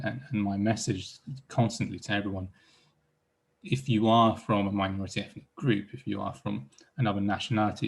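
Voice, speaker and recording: A man's voice: fairly low pitch, vocal fry, husky. A man from Iran speaking on a panel as a psychologist, hesitant and full of repeated words and restarts. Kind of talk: panel discussion.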